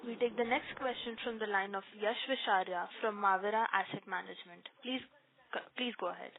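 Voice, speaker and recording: A woman's voice: medium pitch, brisk. Female call operator on phone line. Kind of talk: scripted formal announcement.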